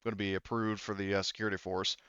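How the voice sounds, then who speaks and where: Smooth, lowish-voiced man running a tabletop game. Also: level tone, fairly bright tone, no room sound, lo-fi sound. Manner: halting, conversational, explanatory. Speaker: game master. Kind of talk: casual tabletop game narration and explanation.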